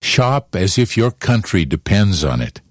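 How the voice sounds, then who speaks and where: A low man's voice with a lively, rising and falling delivery, recorded dry, with faint music behind. He makes a slow, earnest appeal in an announcement. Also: no echo. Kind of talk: public service announcement.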